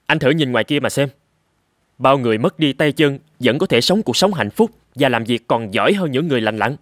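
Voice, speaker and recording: A man playing a calm army doctor, dry sound. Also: medium pitch, a little fast, no echo, natural voice. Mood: reassuring. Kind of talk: cartoon dubbing.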